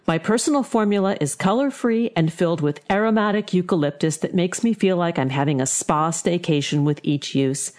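A woman's voice: lowish voice, brisk, few pauses, darkish tone. A woman hosting a talk show, presenting to listeners. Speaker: host.

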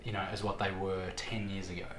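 Low-voiced young Australian man, echoey room. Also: level tone, fairly quick, fairly bright tone. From Victoria, Australia. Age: 20s. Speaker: interview guest. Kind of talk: casual conversational storytelling. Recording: echoey room, background noise.

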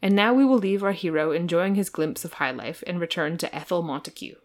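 A woman's voice: on the low side, brisk. A woman podcast host giving an amused, dramatic reading of a comic novel.

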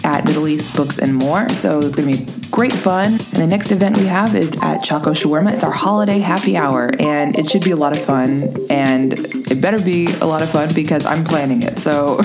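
A woman co-hosting a podcast, bubbly and upbeat as she runs through announcements with plenty of fillers. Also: deep voice. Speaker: podcast co-host. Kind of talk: scripted intro and casual chat. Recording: music playing behind the intro.

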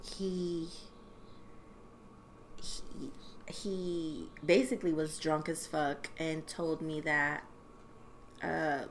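A young woman co-hosting a podcast, talking casually in an animated, rambling way with plenty of swearing. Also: low voice, very slow, pauses often, a little hiss or hum. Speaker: podcast co-host. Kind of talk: casual chat.

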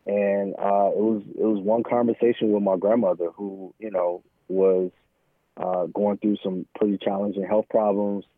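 An American man speaking candidly and informally as an interview guest, halting often and peppering his talk with "man" and "you know". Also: on the low side, little pitch movement, no echo. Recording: thin phone-like line, dry.

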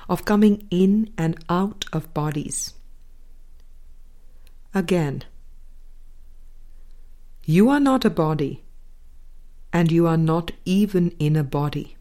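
A woman reading a spiritual book aloud as scripted narration, in measured, deliberate phrases with pauses. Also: on the low side, expressive, dark tone. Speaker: audiobook reader.

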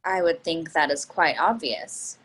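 A woman's voice: sits low, a little slow, a bit bright, slightly rough, full character voice. A woman playing a direct, businesslike young professional in a play reading, formal and pointed as she questions. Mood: cool, probing.

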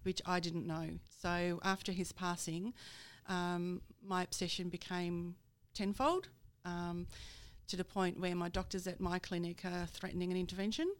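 A woman from the Netherlands speaks. Her voice sits a little low and sounds smooth and clear. She talks candidly, with fillers along the way. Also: steady tone, a little slow, hardly any pauses. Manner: candid, with light laughs and fillers. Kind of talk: personal interview.

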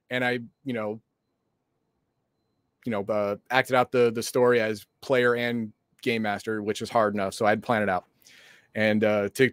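A man with a medium-pitched voice talks casually on a live stream, heard close up with no room sound. He teases and explains in an easy, dry way. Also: no echo. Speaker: streamer host.

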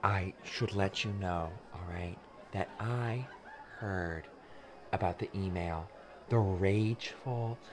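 Woman acting low-voiced, clingy gossiping school mom. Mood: conspiratorial gossip. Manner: halting, rambling, insistent, repeats herself. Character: nosy, insecure, gossiping school-parent woman, awkwardly clingy. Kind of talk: improvised comic radio play.

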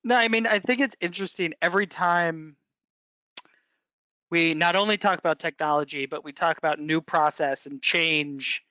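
A man hosting a casual podcast chat, friendly and quick in his runs of speech. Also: on the high side, sharp tone, smooth, no echo, low-quality recording.

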